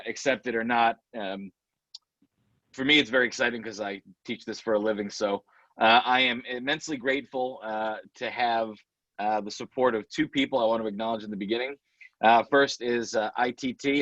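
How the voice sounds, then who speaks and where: A male professor hosts a webinar over a video call, speaking at a mid pitch. He is friendly and rambling, with plenty of fillers. The audio is slightly dull and dry, with no room sound. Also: a bit bright, no echo. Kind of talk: webinar host interview.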